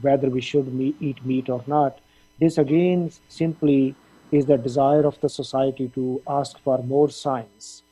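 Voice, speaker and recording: A middle-aged man answers interview questions in a measured, thoughtful way. He often stops to say "uh" or "um". His voice is very dark, mellow and a bit husky. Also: medium pitch, fairly even intonation, low-quality recording. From India, Punjab. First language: Punjabi. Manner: thoughtful, measured, with frequent fillers. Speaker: dean of a veterinary faculty.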